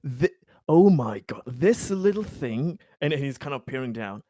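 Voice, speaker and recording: A man improvising in character at a tabletop roleplaying game, speaking in a halting, stammering way. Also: mid-range voice, sing-song, warm tone, dry recording, studio quality.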